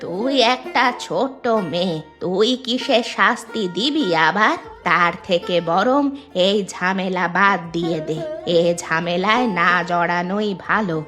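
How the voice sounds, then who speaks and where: Casting: woman playing elderly village grandmother, low and unhurried, protective scold for a kids' cartoon. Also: fairly bright tone, soft background music, lightly acted. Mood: cautioning. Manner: slow, admonishing. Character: cautious old village granny, protective and scolding. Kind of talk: children's cartoon dialogue.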